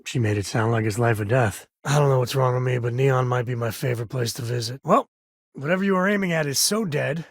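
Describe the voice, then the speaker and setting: A man's voice: medium pitch, a little fast, no echo, clean studio sound, lightly acted. A man voicing an easygoing, folksy space ranger and father in a video game, with a wry, casual drawl. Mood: playful.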